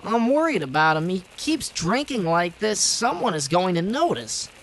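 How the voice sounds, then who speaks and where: An overeager rookie detective in a vintage-style radio drama. The voice is extremely high for a man, youthful and earnest, always keen to help. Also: big pitch swings, moderate pace, a bit bright, exaggerated voice. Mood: worried. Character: eager young rookie police detective, earnest. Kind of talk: old time radio drama acting.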